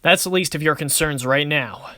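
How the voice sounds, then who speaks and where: A man's voice: on the high side, normal speed, background noise, lightly acted. A man voicing a confident, cocky but good-natured young card duelist in casual, swaggering banter for an amateur anime-style series. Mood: battle-ready, confident.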